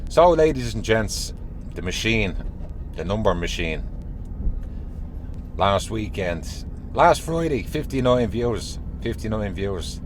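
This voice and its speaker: A man with a Dublin accent talks to his viewers in a hoarse, scratchy voice, since he has a sore throat. He repeats his phrases and drops teasing hints in a conspiratorial way. Faint traffic hums in the background outdoors. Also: fairly low pitch, very animated, slow, pauses often. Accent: Irish, Dublin. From Ireland. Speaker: channel host. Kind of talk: casual monologue to camera.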